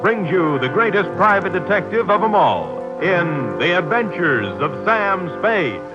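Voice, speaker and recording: A man's voice: high voice, sing-song, measured pace. An American man announcing a programme in grand, scripted 1940s radio style. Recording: dull vintage radio recording with music.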